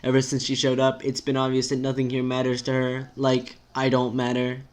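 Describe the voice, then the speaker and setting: Guy doing a snarky vain teenage girl, irritable, punchy delivery, doesn't raise the pitch much. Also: monotone, fairly quick, natural voice. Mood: reluctant honesty. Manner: biting, emphatic, sweary. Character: brash, vain, sarcastic teenage girl, image-obsessed and irritable.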